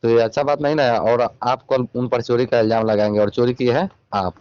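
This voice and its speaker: A man's voice: mid-range voice, fairly even intonation, brisk, darkish tone, clean tone, dry recording. A man with a Bihari accent speaking as a channel reporter on a recorded phone call, questioning and admonishing in an insistent way. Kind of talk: confrontational phone interview.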